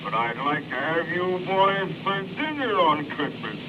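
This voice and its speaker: A man voicing a hungry, menacing big bad wolf with a gruff drawl, in 1930s radio comedy style. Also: a little high, sing-song, measured pace. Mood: sly, hungry. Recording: noisy, muffled 1930s radio recording.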